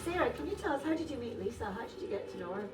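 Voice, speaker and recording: A woman's voice: on the high side, big pitch swings, racing, far from the mic, over loud music. A woman whose first language is Polish, hosting a festive dinner and speaking to the guests with a playful, teasing, laughing manner. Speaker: event host. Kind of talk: lively hosting speech to a crowd. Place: large indoor café hall. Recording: crowd chatter in a large hall. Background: crowd of dinner guests.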